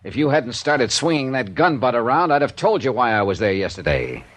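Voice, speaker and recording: An actor playing a tough, world-weary American insurance investigator in a 1950s radio detective drama, with a dry, clipped delivery. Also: male voice, mid-range voice, sing-song, brisk, a bit bright, no echo. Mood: firm, defensive. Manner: hard-boiled, dry, clipped. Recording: vintage radio drama recording.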